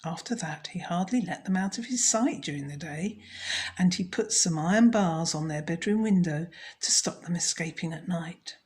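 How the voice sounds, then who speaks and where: A woman storyteller narrating a children's story in a measured, scripted read. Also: on the low side, darkish tone.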